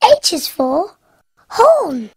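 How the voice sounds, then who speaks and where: A cheerful little cartoon piglet girl, with a very high, bouncy voice that leaps all over. She recites letters slowly and carefully in a giggly sing-song over soft music. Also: sing-song, no echo, studio quality, heavily acted. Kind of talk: cartoon alphabet lesson.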